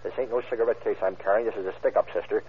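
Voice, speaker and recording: Husky, threatening young stick-up man. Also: fairly low pitch, fairly even intonation, very fast. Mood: menacing. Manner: clipped gangster slang, threatening. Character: tough, menacing young male stick-up man. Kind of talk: 1930s radio crime drama acting. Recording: tinny, narrow-band old radio recording.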